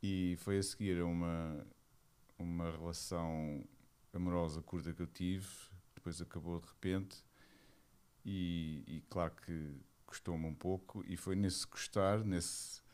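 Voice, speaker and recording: Monk guest: man in his 40s, Lisbon Portuguese, deep low register, searching for words as he reflects. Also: steady tone, unhurried, a little mellow, clean tone. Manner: hesitant, searching for words, thoughtful. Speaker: Buddhist monk, interview guest. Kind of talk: reflective personal account.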